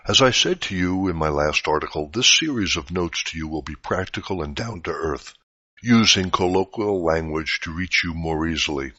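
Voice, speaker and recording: A man reading a scripted spiritual teaching aloud in a measured, didactic way. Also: low voice, lively intonation, pushed and bright. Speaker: reader of spiritual articles. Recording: dull, roomy lo-fi recording.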